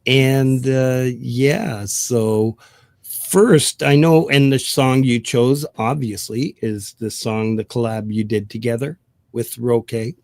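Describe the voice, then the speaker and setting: A man's voice: medium pitch, slow pace, vocal fry, no room sound. Jovial male podcast host, dry mic. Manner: laughs often, relaxed and joking. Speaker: show host. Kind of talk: casual chat with co-host.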